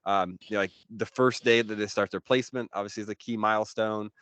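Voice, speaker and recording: A man's voice: on the low side, steady tone, fast, fairly bright tone, clear, resonant tone, no echo. A man giving a casual, explanatory business talk as an expert guest, in an informal conversational manner. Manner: informal, explanatory, frequent "you know".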